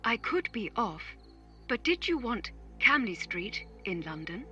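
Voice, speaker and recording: A woman voicing a polite, unflappable phone voice assistant in an audio drama, measured and impersonal, through a small device speaker. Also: fairly high pitch, big pitch swings, pushed and bright, faint music behind, full character voice. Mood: politely apologetic. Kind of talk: audio drama acting, scripted read-out. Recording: dull lo-fi device-speaker sound.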